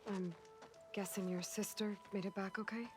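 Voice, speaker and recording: A woman's voice: medium pitch, flat delivery, moderate pace, darkish tone, faint music behind, natural voice. A woman playing a determined, wry young warrior heroine in a video game, speaking in an earnest, purposeful way. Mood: resolved. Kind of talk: naturalistic video game dialogue acting.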